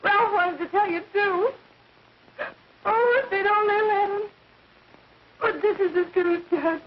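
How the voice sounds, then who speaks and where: An actress playing a guilt-ridden, distraught woman making a halting, anguished confession in 1930s melodramatic film style. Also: extremely high pitch. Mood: tearful, anguished. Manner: anguished, halting, tearful delivery. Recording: dull noisy 1930s film soundtrack.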